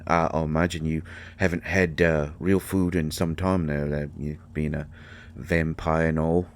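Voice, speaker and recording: A man playing a tiny, gruff but shy and caring potion-brewing healer in an audio drama, with a mottled British accent and a halting, stammering delivery. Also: extremely low pitch, level tone. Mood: wistful, awkward. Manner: halting, stammering, mumbling asides. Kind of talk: intimate roleplay audio drama monologue.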